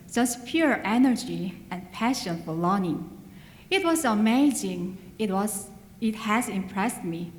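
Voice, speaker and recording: A woman, an adult English learner whose first language is not English, giving an earnest graduation speech with small grammar slips. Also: mid-range voice. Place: large hall. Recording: echoey hall with long reverb.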